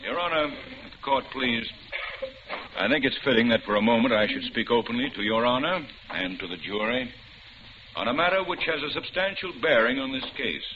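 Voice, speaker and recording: An actor playing a formal defense lawyer addressing the court in measured speech. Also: male voice, medium pitch, animated, close to an everyday voice. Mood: earnest. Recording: noisy lo-fi old radio drama.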